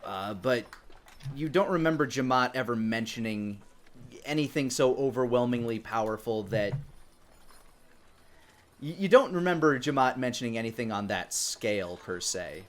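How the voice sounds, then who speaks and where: Man, game master, medium pitch, descriptive tabletop narration, halting delivery. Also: lively intonation.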